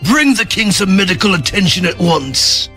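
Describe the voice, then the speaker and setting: A scheming royal henchman speaks in a fairly high, cutting voice. He is a servile bully from a dark fantasy audio drama, with faint music behind him. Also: very animated, moderate pace, full character voice. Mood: contemptuous. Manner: sharp, obsequious to king, contemptuous to underlings.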